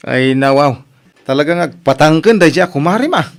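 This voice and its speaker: A man's voice: fairly high pitch, expressive, moderate pace. A man with an Ilocano accent acting a grumbling husband in a comedy radio drama. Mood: exasperated. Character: grumbling husband reacting to his wife's complaints.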